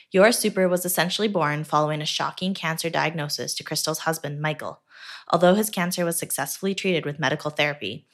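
A woman's voice: on the low side, a bit bright. A woman hosting a podcast, reading a scripted intro and then interviewing a guest with an upbeat, enthusiastic manner. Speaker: podcast host.

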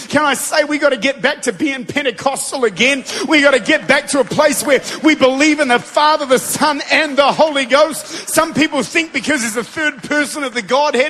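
A man preaching a Pentecostal sermon to a congregation in a large church, fervent and building to shouted declarations. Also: very high voice. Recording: long reverb of a big hall.

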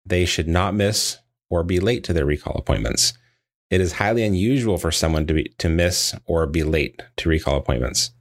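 A man narrating a scripted training lesson in a measured, explanatory way, as if reading from prepared text. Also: sits low. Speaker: dental practice trainer.

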